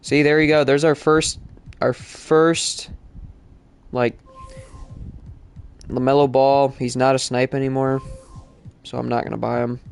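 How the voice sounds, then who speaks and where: Congested guy streaming a game, flat gravelly voice, rambly w/ lots of fillers. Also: mid-range voice, measured pace, long pauses, soft background music. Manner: casual, rambling, many fillers. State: congested from allergies. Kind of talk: live-stream gaming chat.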